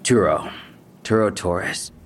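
A man's voice: low-pitched, takes it slowly, a little hiss or hum. An actor in an audio drama playing a defensive young male street robber, talking in clipped slang. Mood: guarded. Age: young adult. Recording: music bed behind the voice.

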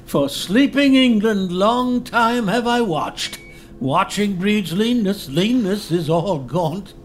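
A man's voice: high-pitched, animated, drawn-out words, slight background noise, full character voice. An actor playing a dying old nobleman who mocks his own name and scolds the king, laughing bitterly through his lines in a classical stage style. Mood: wry.

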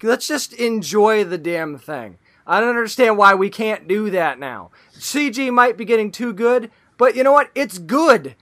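A man's voice: high-pitched, lively intonation, a little slow, hardly any pauses, fairly bright tone. A man co-hosting a casual movie review chat, arguing his side emphatically and swearing casually. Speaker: podcast co-host.

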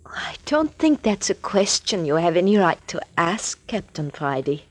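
A woman's voice: lowish voice, sing-song, unhurried. An actress playing a guarded, mysterious woman of twenty in 1940s radio drama style.

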